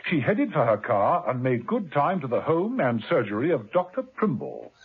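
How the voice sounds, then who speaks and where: A man narrating a 1970s radio drama in a brisk storytelling manner, on tinny old radio tape. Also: medium pitch, big pitch swings, breathy edge.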